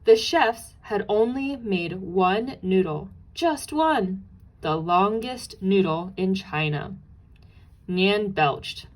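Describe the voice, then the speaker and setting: Slow-paced woman reading a storybook. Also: mid-range voice, lively intonation, low-quality recording. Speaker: storybook narrator. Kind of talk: expressive children's read-aloud.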